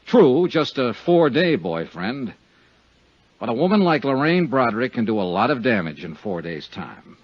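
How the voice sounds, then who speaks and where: A man's voice: mid-range voice, big pitch swings, moderate pace. An American actor playing a tough, world-weary but humane male insurance investigator in a 1950s radio detective drama, terse and pointed. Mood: earnest, hard. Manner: terse, hard-boiled, pointed. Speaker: insurance investigator hero and narrator. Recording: dull, lo-fi 1950s radio recording.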